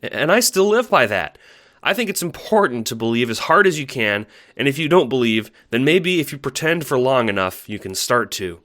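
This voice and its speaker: A man's voice: mid-range voice, very animated, normal speed, fairly bright tone. A man playing a meek, earnest young male troll who talks with a hesitant, apologetic stammer in an audio drama monologue. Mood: earnest, animated. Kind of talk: audio drama monologue, recounting adventures as a log.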